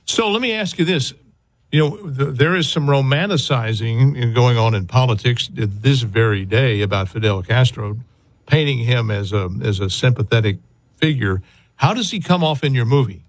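A man's voice: mid-range voice, normal speed, no room sound. Male interview host, dry close sound. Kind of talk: conversational political interview.

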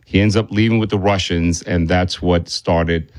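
Guy with a low voice doing a film interview, director type, close dry sound, repeats himself a bit. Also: fairly even intonation, moderate pace, no room sound. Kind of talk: conversational interview about a film.

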